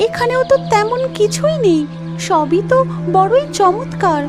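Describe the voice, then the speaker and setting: Woman voicing a very high-pitched mermaid girl. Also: measured pace. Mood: curious. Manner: earnest, expressive. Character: sweet, curious young mermaid heroine. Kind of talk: dramatic cartoon fairy-tale acting. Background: music.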